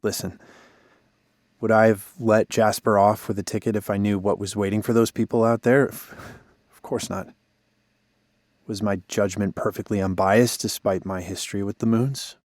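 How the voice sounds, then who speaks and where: An actor playing a broken-down radio DJ, weary, sardonic and self-deprecating, in conversational audio drama dialogue. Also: male voice, fairly low pitch, steady tone, moderate pace, a little mellow, dry recording, studio quality, close to an everyday voice. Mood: defensive, weary.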